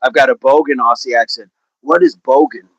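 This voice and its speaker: A man's voice: medium pitch, huge pitch swings, fairly quick, vocal fry, husky, no echo, dull audio. Upbeat chatty man on a dry mic. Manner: chatty, upbeat, laughs often, repeats phrases. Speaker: fitness livestream host. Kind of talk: casual livestream chat answering viewer comments.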